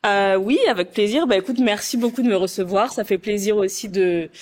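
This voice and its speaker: A woman's voice: mid-range voice, brisk, darkish tone. Young woman, Paris region, hesitant but forthcoming, on a call. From France, Paris region. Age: young adult. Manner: hesitant with many fillers, open and detailed. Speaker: guest. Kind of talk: casual conversation.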